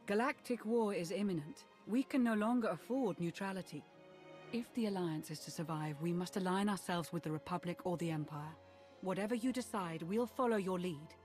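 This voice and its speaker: An actress playing a steady, loyal female military adviser in a video game, speaking in a measured, formal way. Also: on the low side, faint music behind, lightly acted. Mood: grave, resolute. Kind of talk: video game cutscene acting.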